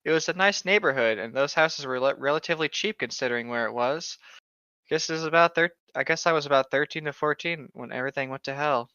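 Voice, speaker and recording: A man's voice: a little high, quick pace, bright, forceful tone, clean tone, dry recording, highs cut off. A man in casual banter, reading aloud and reacting with joking, mock-exasperated sarcasm. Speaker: co-host reacting to online horror fiction.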